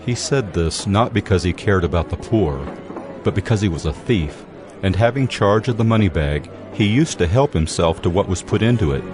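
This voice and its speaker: Deep-voiced man narrating scripture. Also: lively intonation, normal speed, crowd noise. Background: music.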